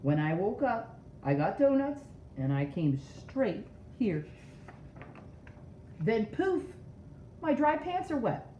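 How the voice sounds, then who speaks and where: A woman playing an indignant, melodramatic storybook fellow, wildly swooping pitch. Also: very animated, slow pace, pauses often, dark tone. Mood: insistent. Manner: accusing, melodramatic, sarcastic. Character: indignant, self-important male storybook character accusing his friends. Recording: roomy echo, dull sound, faint noise.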